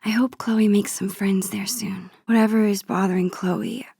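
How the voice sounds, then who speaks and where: A woman playing a soft-spoken, introspective teenage girl in a video game, wistful and hesitant, with a whispery voice. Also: medium pitch, steady tone, normal speed, vocal fry, slightly rough, dry recording, clean studio sound. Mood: pensive, concerned. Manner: hesitant, gentle, musing to herself. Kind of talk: naturalistic video game dialogue and inner monologue.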